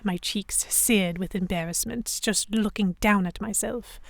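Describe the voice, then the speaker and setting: A woman playing a prim, anxious yet defiant young Victorian noblewoman, narrating a historical romance audio drama in a formal, expressive way. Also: medium pitch, unhurried, vocal fry, dry recording. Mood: embarrassed, prim.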